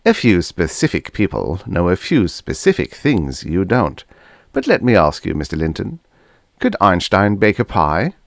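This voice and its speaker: A man's voice: low-pitched, huge pitch swings, a little slow, creaky, no echo, studio quality, full character voice. A man voicing a shifty older mad doctor in a science fiction audiobook, fussy, theatrical and patronizing.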